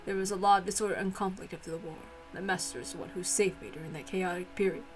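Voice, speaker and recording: A woman voicing a stern, quiet old servant man, formal, humble and loyal, in a visual novel reading. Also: lowish voice, fairly even intonation, fast, faint music behind, voice pushed down, natural voice. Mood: solemn, grateful.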